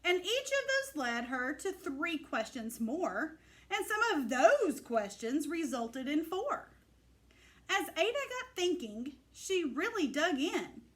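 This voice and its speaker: A woman reads a rhyming story for children in a high voice that swings widely in pitch. She takes her time, phrasing each line with clear emphasis and expression. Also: big pitch swings, slow. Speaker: storybook reader. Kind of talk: animated read-aloud of a rhyming children's picture book.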